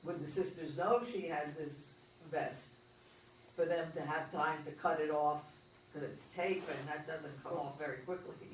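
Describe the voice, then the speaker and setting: A man's voice: on the high side, fairly even intonation, normal speed, a little hiss or hum. A man in a theatre discussion asking probing questions about the play. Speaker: audience member giving feedback. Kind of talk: workshop discussion. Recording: echoey room, distant mic.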